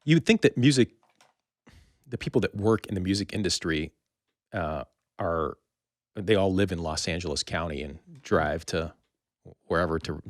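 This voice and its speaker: A low-pitched man hosts a relaxed podcast interview. He feels his way through questions in halting, roundabout phrases, recorded dry with no room sound. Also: no echo. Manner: rambling, halting phrasing that circles back. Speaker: podcast host. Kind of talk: casual interview chat.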